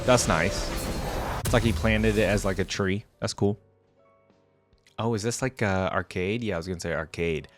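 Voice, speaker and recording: A man's voice: fairly low pitch, moderate pace, pauses often, music in the background. A man giving casual, off-the-cuff reaction commentary as a video host, thinking aloud in an informal, exclamatory way.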